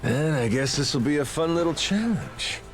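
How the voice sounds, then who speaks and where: Man acting lively, higher-voiced confident pirate captain. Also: normal speed, faint music behind, natural voice. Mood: resolute, reassuring. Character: confident, easygoing, persuasive male pirate captain. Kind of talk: dramatic video game voice acting.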